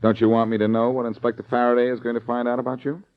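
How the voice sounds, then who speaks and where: An American actor playing a cocky, wisecracking amateur detective in 1940s radio style, flippant and quick-witted. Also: male voice, mid-range voice, little pitch movement, close to an everyday voice. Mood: cool, probing. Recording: dull, lo-fi old radio recording.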